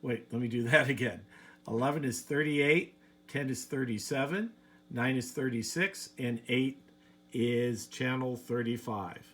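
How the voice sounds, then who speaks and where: A man's voice: medium pitch, unhurried, a bit bright. Casual man showing off hobby gear. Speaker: radio hobbyist, video presenter. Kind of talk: casual demonstration talk.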